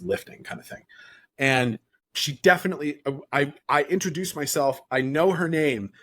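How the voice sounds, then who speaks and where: A man's voice: mid-range voice, a bit bright, dry recording. A man hosting a podcast, giving dating advice in a rambling, profane, tangent-filled way. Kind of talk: casual podcast chat.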